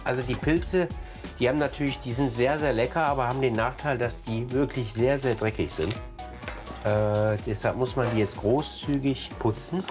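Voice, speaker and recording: Male TV cook-host, medium pitch, casual enthusiastic chat, clear music in the background. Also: normal speed. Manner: enthusiastic, exclaiming. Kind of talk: casual on-location chat while cooking.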